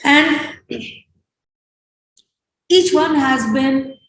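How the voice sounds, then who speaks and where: An Indian-accented woman hosts a discussion in an echoey room. Her voice is slightly high and she talks slowly. She speaks unscripted, pausing and restarting as she reflects and gives appreciation. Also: steady tone, fairly bright tone, a little hiss or hum. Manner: halting, reflective. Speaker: host of post-performance conversation.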